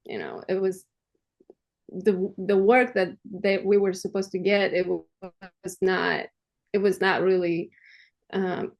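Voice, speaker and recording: A woman's voice: medium pitch, fairly even intonation, dull audio. Woman, earnest. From Armenia, raised in Russia. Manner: earnest, halting, breaks into light laughter. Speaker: guest. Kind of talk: personal testimony in a conversation.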